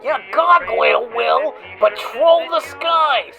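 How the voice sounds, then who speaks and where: A woman playing a dramatic, creaky-voiced pitchwoman in an eerie 1940s-style radio advertisement, theatrical and emphatic. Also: wildly swooping pitch, slow pace, full character voice. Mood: emphatic, salesy. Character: dramatic female pitchwoman selling an eerie home-defense gadget. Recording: music behind the voice.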